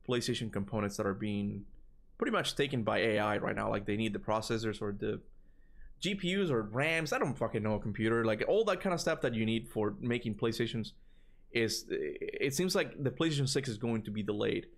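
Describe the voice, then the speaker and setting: A man with a Cuban accent chatting casually on a livestream, rambling and animated, answering chat and swearing now and then. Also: mid-range voice. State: tired voice from long talking. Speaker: gaming streamer answering chat.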